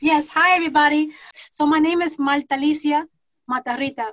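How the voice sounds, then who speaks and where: A woman in her forties from New York City, a guest artist introducing herself in a thoughtful, rambling way with pauses. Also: sits high, fairly even intonation, a bit bright, no echo. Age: 40s. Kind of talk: casual self-introduction. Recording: dull lo-fi sound.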